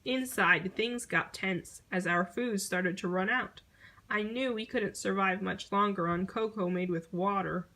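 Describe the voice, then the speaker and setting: A woman reading a children's picture book aloud in an expressive storytelling manner. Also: medium pitch, measured pace. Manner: expressive storytelling, acting out short exclamations.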